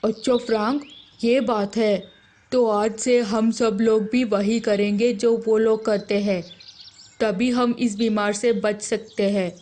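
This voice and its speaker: A woman playing a concerned lion king in a children's story, speaking with measured authority. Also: fairly high pitch, fairly even intonation, a little mellow. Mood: decisive. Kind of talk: animated children's story character.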